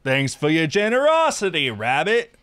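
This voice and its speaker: A man voicing a mocking, menacing teenage school bully in a visual novel, with a taunting, drawn-out and forceful delivery. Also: on the high side, huge pitch swings, clean tone, pitched above the performer's own voice. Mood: mocking aggression. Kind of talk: visual novel character voice-over.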